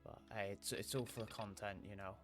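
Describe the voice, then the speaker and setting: A man's voice: on the low side, steady tone, a little fast, music in the background. A young man chatting casually on stream, laid-back and swearing, his throat sore from a cold. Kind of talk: casual game stream chat.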